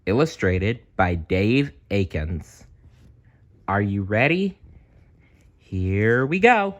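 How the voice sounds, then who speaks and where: A man reading a children's storybook aloud in an expressive, playful way, with chatty asides. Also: fairly low pitch, muffled recording. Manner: animated, unhurried, playful.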